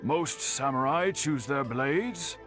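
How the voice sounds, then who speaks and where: An actor voicing an older, dignified master swordsmith in a video game, measured and solemn. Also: male voice, medium pitch, lively intonation, over music. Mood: solemn, reflective.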